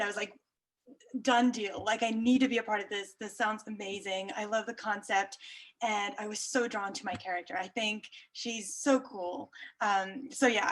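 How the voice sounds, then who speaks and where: A woman actress from South Africa, a native Afrikaans speaker with a South African accent, chatting brightly in an interview and laughing often. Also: medium pitch, low-quality recording. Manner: bubbly and chatty, laughs often, many fillers.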